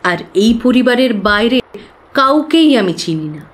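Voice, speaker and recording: An actress playing an earnest young working woman who confides expressively and with pauses in an audio drama. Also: a little high, big pitch swings. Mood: confiding. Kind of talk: dramatised audio story acting.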